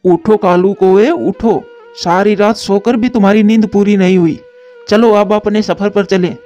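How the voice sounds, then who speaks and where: A dependable, caring pigeon from a children's cartoon, voiced by a man. His voice is high and mellow, recorded a little dully over soft background music. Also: steady tone, normal speed, lightly acted. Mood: purposeful. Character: caring, responsible male cartoon pigeon, group's elder brother. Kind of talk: Hindi children's moral story cartoon dialogue. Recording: dull lo-fi track with music.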